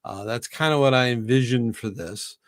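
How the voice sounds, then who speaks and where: A man's voice: medium pitch, moderate pace, no echo, clean studio sound. A middle-aged man hosting a casual live video-call chat, recovering from a cold, rambling and laughing often. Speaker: host.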